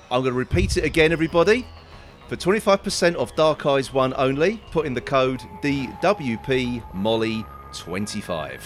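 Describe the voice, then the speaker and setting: Brief: male podcast co-host, mid-pitched, friendly, with faint music behind him. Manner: friendly, laughs easily, a little hesitant. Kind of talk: casual podcast chat.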